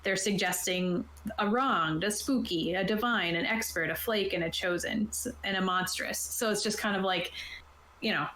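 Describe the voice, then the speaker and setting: A woman talks through tabletop game rules as a game master, in a casual and slightly halting manner. Her voice sits at an average pitch. The recording is roomy, with clear echo around the words. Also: pushed and bright. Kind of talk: casual explanatory talk, reading from a rulebook. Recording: roomy, echoing sound.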